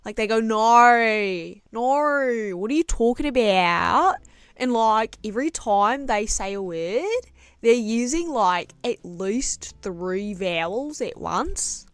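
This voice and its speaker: A woman's voice: fairly high pitch, animated, slow, smooth, no echo. Giggly woman with a New Zealand accent. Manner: rambling, giggly, lots of "like" fillers. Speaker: art streamer and English teacher. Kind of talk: casual chat while drawing.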